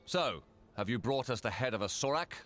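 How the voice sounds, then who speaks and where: A man's voice: medium pitch, lively intonation, brisk, a bit bright, natural voice. An actor voicing a wry male lord in a fantasy video game, with drawling emphasis. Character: wry, unhurried male lord greeting adventurers.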